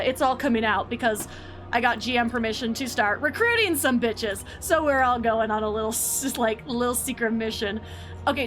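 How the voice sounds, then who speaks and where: Woman, streamer, high voice, rambling, filler-heavy, faint background music. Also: fairly bright tone. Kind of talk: casual livestream chat.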